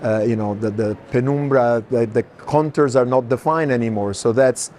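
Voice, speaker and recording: Male moderator, mid-pitched voice, spontaneous walking art discussion, clear noise of a busy fair behind. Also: moderate pace, darkish tone. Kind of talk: unscripted walking conversation about art.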